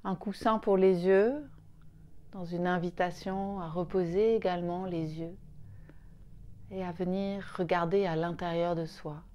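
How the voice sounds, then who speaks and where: Very slow, mellow woman guiding meditation. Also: fairly low pitch, steady tone. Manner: soothing, unhurried, with long pauses between short phrases. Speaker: yoga nidra guide. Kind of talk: guided meditation.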